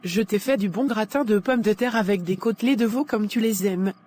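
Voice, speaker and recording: Woman playing a gentle, eager-to-please cartoon wife. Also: medium pitch, a little fast, lightly acted. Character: devoted, eager-to-please housewife, gentle and accommodating. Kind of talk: scripted animated drama dialogue.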